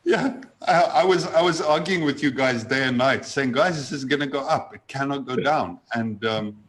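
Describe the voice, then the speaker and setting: A man talking as a guest trader on a video call, halting, with many fillers and restarts. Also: mid-range voice. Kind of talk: casual video call chat.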